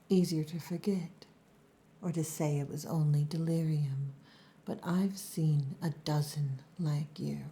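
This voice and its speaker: A woman acting a stern, possessive orc huntress in an ASMR roleplay, breathy and commanding, often close to a whisper. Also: low voice, mellow.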